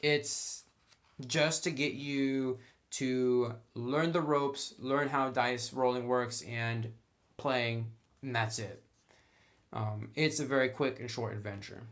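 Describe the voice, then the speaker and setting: A man's voice: medium pitch, steady tone, slow pace, a bit bright. A man giving a casual, opinionated review as a tabletop game reviewer, talking in an informal, conversational way.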